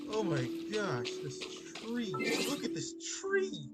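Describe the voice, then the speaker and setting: A man's voice: high-pitched, wildly swooping pitch, slow pace. A man giving casual gameplay commentary as a streamer, exclamatory and repetitive. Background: loud game music.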